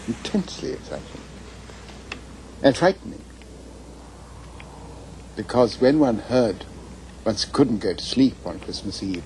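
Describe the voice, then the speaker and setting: Casting: English man, seventies, low mellow voice, deliberate formal reminiscence, faint background noise. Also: rough recording. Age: 70s. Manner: deliberate, formal, repeats phrases for emphasis. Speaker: interviewee recalling childhood. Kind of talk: reflective interview reminiscence.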